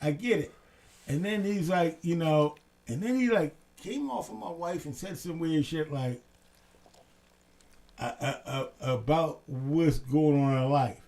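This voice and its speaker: A man co-hosting a casual late-night talk show, telling stories in an animated way and swearing freely. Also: on the high side, measured pace. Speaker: co-host.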